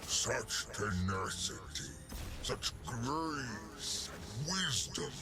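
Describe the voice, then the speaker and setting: An actor playing a huge, pompous alien emperor in a video game, savoring words with silky menace and gravelly grandeur. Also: male voice, fairly low pitch, very animated, drawn-out words, full character voice. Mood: grandly inviting. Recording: music behind the voice.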